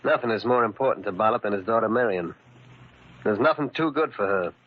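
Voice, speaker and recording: A man's voice: fairly low pitch, fairly quick, a little hiss or hum, close to an everyday voice. An actor playing a tough, coolly menacing gangster henchman with an American accent and blunt, slangy talk in 1940s radio drama style. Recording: muffled, worn 1940s radio recording.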